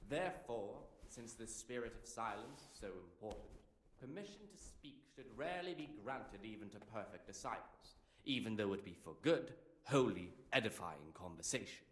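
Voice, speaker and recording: An actor playing a stern, pious monk reading a monastic rule aloud in a game cutscene, solemn and declamatory, in an echoey room. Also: male voice, fairly low pitch, lively intonation, moderate pace, fairly bright tone.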